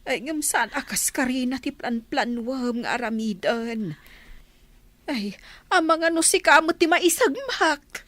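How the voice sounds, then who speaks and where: A sympathetic household confidante in an Ilocano radio drama. She speaks slowly and respectfully in a fairly high voice, recorded dry and close. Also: animated, a bit bright, no room sound, heavily acted. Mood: concerned.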